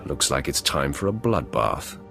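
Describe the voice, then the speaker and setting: A very low-voiced, wrathful dark warrior for a sci-fi game. He is proud and curt, with lively threatening inflection over music. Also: unhurried, creaky, full character voice. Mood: menacing. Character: ruthless young male Sith warrior apprentice, proud and wrathful. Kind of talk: cinematic game voice acting.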